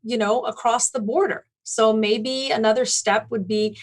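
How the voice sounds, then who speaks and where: Woman guest on an interview, slightly high voice, explains stuff in detail, chatty and articulate. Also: steady tone, unhurried. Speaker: interview guest, event planning business owner. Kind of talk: conversational interview storytelling.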